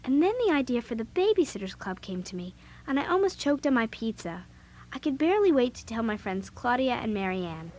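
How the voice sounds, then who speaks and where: Outspoken seventh-grade heroine, American, high-pitched, very lively delivery, children's audio drama. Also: female voice, fairly quick. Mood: storytelling. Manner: animated, blunt. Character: outspoken, eager seventh-grade girl telling her story.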